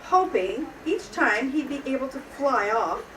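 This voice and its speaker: A woman playing Mrs. Claus, a grandmotherly storyteller reading a children's storybook with measured expression. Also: high voice, animated, voice pushed up. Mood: measured, storytelling. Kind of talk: storybook read-aloud to children. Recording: echoey room, distant mic, faint noise.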